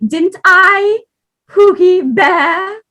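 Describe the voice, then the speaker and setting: A woman's voice: extremely high pitch, extremely slow, sharp tone, heavily acted. A woman in a comedic play reading, playing a theatrical, easily wounded, bickering spouse with a whiny delivery. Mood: wounded, melodramatic. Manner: melodramatic, whiny.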